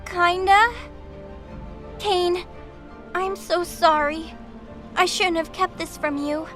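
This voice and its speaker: Young female archangel agent voiced by a woman: very high voice, earnest, dutiful, formal audio drama delivery. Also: steady tone, takes it slowly, fairly bright tone, faint music behind, exaggerated voice. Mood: apologetic. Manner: earnest, formal, rattles off explanations.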